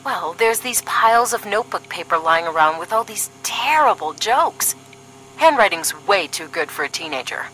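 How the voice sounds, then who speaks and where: A woman's voice: medium pitch, expressive, moderate pace, bright, forceful tone, slight background noise, rough recording. A woman acting as a sardonic, duty-minded young paramilitary agent in a scripted audio drama, dry and serious.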